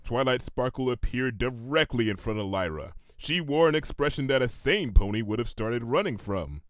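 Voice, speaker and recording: A man's voice: medium pitch, animated, normal speed, no echo, clean studio sound. A man narrating a comedic fan fiction story in a theatrical, emphatic manner, drawing phrases out for suspense.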